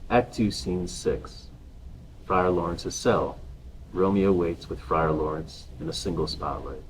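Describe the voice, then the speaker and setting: A man's voice: low voice, unhurried, a little hiss or hum, rough recording. A man reading stage directions as a scripted narrator.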